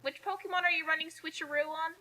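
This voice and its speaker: High, bright-voiced woman riffing with friends. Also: fairly even intonation, fast. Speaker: commentary host. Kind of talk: casual riffing commentary with friends. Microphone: online voice call.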